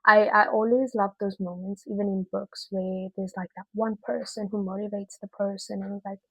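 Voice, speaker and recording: A woman giving a casual, chatty video review in an expressive, slangy way with emphatic repetition. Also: mid-range voice, normal speed, husky, dry recording, low-quality recording.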